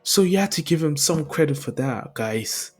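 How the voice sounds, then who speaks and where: A man plays a firm, earnest anime superhero pleading his case. His voice is lifted above normal and somewhat husky, with music behind. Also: fairly high pitch, animated, normal speed, voice pushed up. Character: firm, earnest veteran male superhero defending a boy. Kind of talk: improvised anime story acting.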